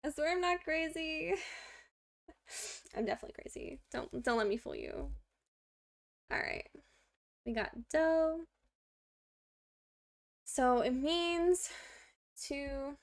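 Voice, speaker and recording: Chatty young woman with a gravelly edge. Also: lively intonation, slow pace, long pauses. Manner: chatty and self-deprecating, many fillers; talks to a pet in a high baby voice. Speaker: streamer learning Korean. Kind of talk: casual study stream, explaining grammar aloud.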